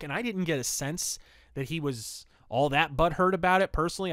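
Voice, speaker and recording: Higher-pitched man, chatty podcast host. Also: fairly bright tone, no echo, studio quality. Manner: enthusiastic, opinionated, colloquial. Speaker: podcast host reviewing pulp fiction and old radio. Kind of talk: casual, conversational review commentary.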